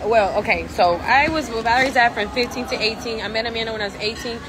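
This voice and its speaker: A woman's voice: on the high side, fast, rough recording. A woman in her thirties with a Mexican accent, venting brashly and profanely on a phone livestream and slipping into Spanish. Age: 30s. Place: outdoors near traffic.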